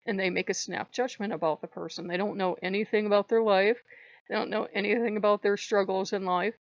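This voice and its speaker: Earnest, slightly hoarse woman vlogger. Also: mid-range voice, fairly even intonation, a little fast, dry recording, dull audio. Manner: earnest, rambling, repeats phrases for emphasis. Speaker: channel host. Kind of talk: casual spiritual vlog monologue.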